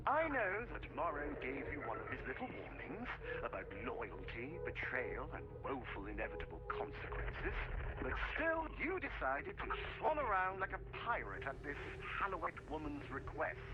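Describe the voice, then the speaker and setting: Pompous, animated, sardonic officer. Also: male voice, high voice, dull audio. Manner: pompous, sardonic, theatrically articulate. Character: haughty, scheming inquisitorial officer commanding underlings. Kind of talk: dramatic video game cutscene dialogue.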